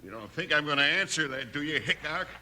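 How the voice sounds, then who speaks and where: A man's voice: fairly high pitch, lively intonation, fast talker, pushed and bright, a little hiss or hum. An actor playing a surly, tough-talking outlaw gunman with an American accent in a 1950s Western radio drama. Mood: sneering, defiant. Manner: tough-talking, sneering, grudging.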